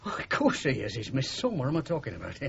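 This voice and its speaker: An actor playing a sentimental, wounded middle-aged working-class father with a Northern English accent in a radio drama, speaking haltingly and emotionally. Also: mid-range voice, huge pitch swings, creaky, fairly bright tone, heavily acted. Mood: wistful, troubled. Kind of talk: 1970s radio drama acting. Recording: muffled, noisy 1970s radio broadcast.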